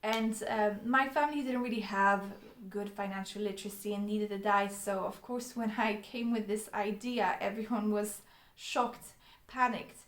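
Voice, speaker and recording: A woman's voice: medium pitch, fairly even intonation, normal speed, reverberant. A young woman from Poland, Polish her first language, telling her life story to the camera in an earnest way with frequent ums and restarts. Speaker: vlogger.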